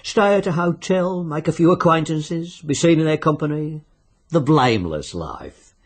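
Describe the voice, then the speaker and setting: An actor playing a sly, genial British fixer in a radio drama, insinuating and coolly businesslike. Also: male voice, fairly high pitch, expressive, normal speed. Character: sly, genial bookmaker-like fixer arranging deaths by wager.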